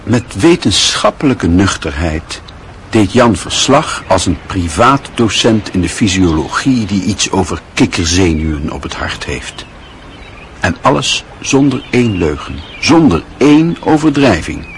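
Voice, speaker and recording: A man narrating a Dutch radio play in a measured, literary storytelling manner. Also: low voice, sing-song, a little hiss or hum.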